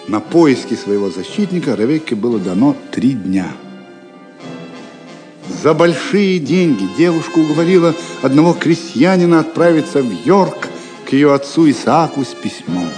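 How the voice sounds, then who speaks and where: A man narrating an audio play in an expressive, solemn storytelling style. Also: medium pitch. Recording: music under the voice. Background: music.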